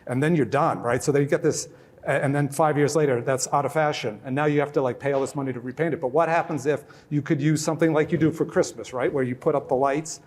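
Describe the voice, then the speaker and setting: A man's voice: on the high side, steady tone, quick pace, darkish tone. A man on a conference panel, explaining casually with everyday analogies.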